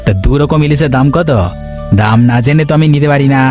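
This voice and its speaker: A man's voice: fairly high pitch, fast, natural voice. Pushy village man acted by a man, music behind. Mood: persuasive. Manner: insistent, persuasive. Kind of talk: cartoon voice acting. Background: background music.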